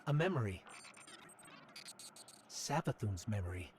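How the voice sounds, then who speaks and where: An actor playing a solemn investigator in dramatic video game dialogue. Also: male voice, lowish voice, very animated, a little slow, noisy background.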